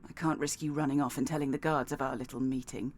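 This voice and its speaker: A woman's voice: a bass voice, steady tone, quick pace, slight background noise. An actress playing a stern, sardonic female assassin in a video game, with a dry, threatening delivery. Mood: cold, curt.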